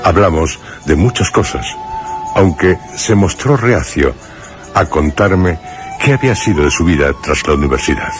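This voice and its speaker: An actor playing a grave male narrator in a suspense radio drama, with a measured, literary and solemn delivery. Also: deep voice, animated, music in the background. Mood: measured, reflective. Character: grave male narrator recalling a troubled friend in suspense tale.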